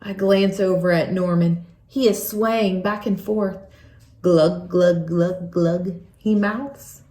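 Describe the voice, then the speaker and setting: A woman reads a children's story aloud in an expressive, animated way, leaning on words for emphasis. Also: fairly low pitch, slow, reverberant. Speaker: storybook reader.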